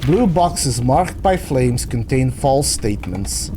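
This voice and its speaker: A man's voice: medium pitch, animated, slow pace, noisy background. A man with a Belgian accent, chatting casually and thinking aloud while he streams a puzzle game. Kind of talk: casual chat while gaming.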